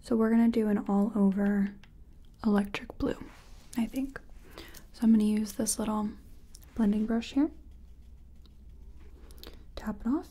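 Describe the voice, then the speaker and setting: A woman playing a nervous, gentle makeup artist in a soft-spoken ASMR roleplay, breathy and hesitant, often murmuring to herself. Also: mid-range voice, fairly even intonation, unhurried, long pauses. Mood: focused, unsure. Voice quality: breathy, whispery.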